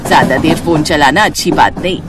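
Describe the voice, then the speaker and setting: A woman acting sly elderly auntie, storybook villain, sweet coaxing chatter, lower-set voice, loud background noise. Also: fairly quick, lightly acted. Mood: sweetly coaxing. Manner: chatty, coaxing, feigning concern. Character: older grandmotherly woman thief, sweet-talking and sly.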